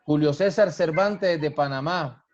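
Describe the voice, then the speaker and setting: A man's voice: a little high, measured pace, a little mellow. A man, a pastor who prays and blesses people fervently over a video call, repeating his phrases. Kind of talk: preaching and greeting viewers online. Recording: dull muffled online stream audio.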